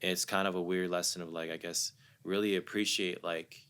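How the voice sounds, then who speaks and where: A man's voice: low-pitched, flat delivery, a little fast, fairly bright tone, clear, resonant tone. A young man from the United States, a guest musician talking casually and reflectively in an interview, often repeating words as he thinks.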